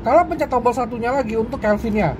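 A woman's voice: fairly high pitch, fast talker. Chatty woman demoing gadgets over traffic. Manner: relaxed Jakarta slang, addresses viewers as friends. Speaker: product reviewer. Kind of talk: casual hands-on product demo.

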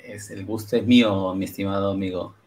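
A man with a fairly low voice leads a casual interview over a video call. He asks questions in a friendly, unhurried way. His headset mic picks up a faint hiss of room noise. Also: fairly even intonation, darkish tone. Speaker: podcast host. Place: home room with purple lighting.

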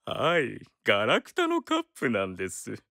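A man's voice: mid-range voice, wildly swooping pitch, vocal fry, sharp tone, dry recording, clean studio sound, full character voice. A man playing a polite, slyly amused antique dealer in a radio drama, with unctuous courtesy. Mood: bemused. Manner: courteous, unctuous, measured merchant speech.

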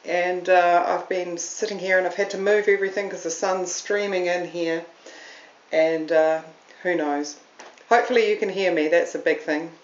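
A woman's voice: lowish voice, fairly even intonation, normal speed. A middle-aged woman with a New Zealand accent, hosting a podcast in a chatty, self-deprecating way and laughing often. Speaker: podcast host. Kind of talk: casual solo talk to camera. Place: room at home. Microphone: camera on a stand. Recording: roomy, slightly dull home recording.

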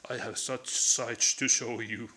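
A man's voice: mid-range voice, steady tone, measured pace, bright, forceful tone, slight background noise, rough recording. A man in his thirties with a Finnish accent, tiredly rambling as he explores an abandoned building, recorded on a chest-mounted camera. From Finland. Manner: hesitant, rambling, tired-sounding. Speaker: urban explorer vlogger. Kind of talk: casual vlog narration while exploring. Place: abandoned industrial building, indoors. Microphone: camera on a chest harness.

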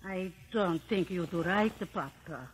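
A woman's voice: on the low side, a little slow, close to an everyday voice. A woman playing an anxious shopkeeper's wife with an Italian accent and hesitant broken English in an old radio drama. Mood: worried.

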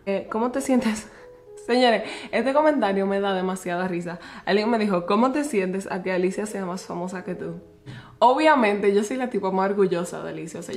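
A woman's voice: on the low side, a little mellow. Young woman vlogging on her camera, chatty, tosses in english bits, music playing under. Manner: chatty, mixes English phrases into Spanish. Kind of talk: casual vlog chat. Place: bedroom, restaurant and car. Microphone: camera held at arm's length.

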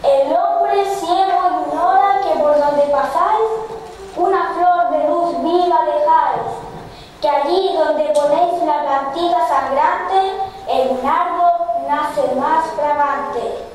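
A woman declaims poetry in a high voice, slowly and solemnly, stretching her phrases. The sound is heavily echoing and far from the microphone. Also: a little mellow. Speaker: recitation contestant. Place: large echoing room. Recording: very echoey hall, distant mic, faint noise.

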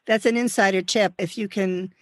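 A woman's voice: medium pitch, steady tone, moderate pace, no echo, clean studio sound. An older woman hosting a travel podcast, chatting casually and reminiscing. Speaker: podcast host.

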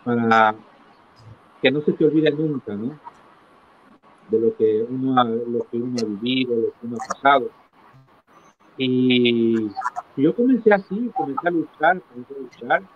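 A middle-aged man recounting his life as an interview guest, in a halting manner, repeating phrases for emphasis. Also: mid-range voice. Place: small indoor room, video call. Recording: tinny phone-like call with clear noise.

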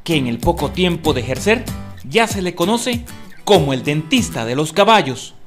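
A man delivering scripted news narration in a polished, measured way. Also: on the high side, sing-song, faint music behind. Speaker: TV reporter.

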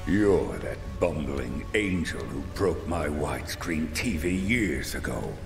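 A gigantic demon king speaks with contempt in an extremely deep, gravelly voice. He is unhurried and imposing, a video game overlord in a reverberant space. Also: animated, exaggerated voice. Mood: scornful. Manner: slow, disdainful, imposing. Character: huge, contemptuous demon king, menacing father. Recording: echoey cavern reverb. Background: dramatic music.

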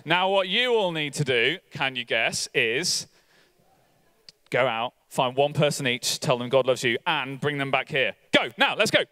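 A man in his forties giving an energetic all-age church talk on a hall stage, coaxing volunteers into a game. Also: medium pitch, a little slow. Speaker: church leader. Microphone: handheld mic.

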